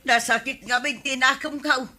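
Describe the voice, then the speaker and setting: A woman's voice: high voice, fairly even intonation, normal speed, pushed and bright, exaggerated voice. An actress with an Ilocano accent playing a whiny, gluttonous village wife who insists on what she wants, in a radio comedy drama. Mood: wanting, whiny.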